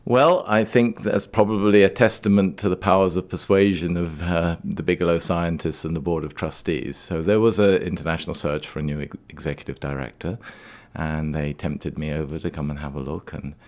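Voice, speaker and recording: Middle-aged man, extremely deep voice, from Trinidad and later Scotland, articulate, measured, expert interview guest. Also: fairly even intonation, darkish tone. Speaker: research laboratory director, interview guest. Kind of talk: explanatory interview talk.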